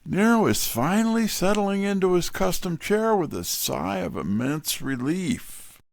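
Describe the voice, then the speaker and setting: A man acts as a detective's wry right-hand man in an audio drama. The voice sits fairly high for a man and swings widely in pitch. The delivery is unhurried and colloquial. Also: very animated, creaky, dry recording, studio quality, exaggerated voice. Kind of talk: scripted audio drama with narration.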